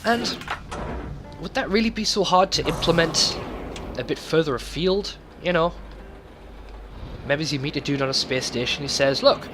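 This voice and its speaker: A man's voice: fairly high pitch, lively intonation, normal speed, pauses often, over music. A man hosting a gaming video, talking casually and thinking aloud in a conversational let's play commentary.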